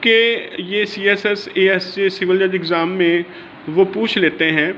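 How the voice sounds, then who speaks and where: A man with a Pakistani accent and a notably high voice teaches like a law lecturer. He explains things informally and slows down haltingly over formal English terms. The recording is dull and lo-fi, with faint noise behind him. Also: level tone, a bit bright. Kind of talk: informal exam-prep lecture, reading law text and explaining it.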